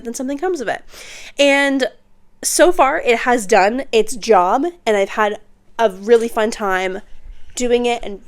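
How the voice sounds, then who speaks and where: A young woman hosting her own podcast, chatty and bubbly, sounding congested while getting over an illness. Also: fairly high pitch. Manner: bubbly, chatty, uses slang and filler words. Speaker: podcast host. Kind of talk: casual solo podcast chat. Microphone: podcast microphone.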